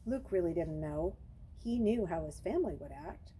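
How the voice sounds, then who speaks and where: A woman's voice: fairly low pitch, lively intonation, normal speed, mellow, a little hiss or hum. A woman reading a children's novel aloud, narrating expressively and dramatizing the story.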